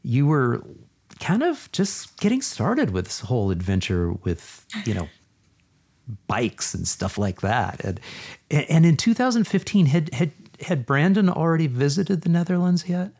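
A man's voice: on the high side, big pitch swings, measured pace, darkish tone, husky. A man hosting a podcast, chatting in an effusive, enthusiastic way and repeating words for emphasis in a casual interview. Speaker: podcast host. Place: video call recording.

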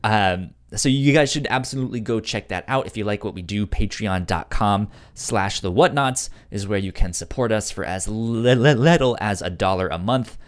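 A man's voice: fairly low pitch, normal speed, hardly any pauses. A man chatting casually as the host of a podcast.

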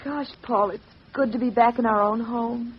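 An actress playing an anxious, easily frightened heroine in melodramatic old radio horror. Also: female voice, a little high, steady tone, normal speed, husky. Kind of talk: old radio drama acting. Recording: muffled old radio recording, hiss.

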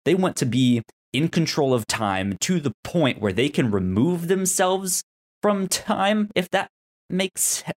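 A man co-hosting a casual podcast discussion, explaining things haltingly and stuttering on words with repeated syllables. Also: on the high side, sing-song. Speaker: podcast host.

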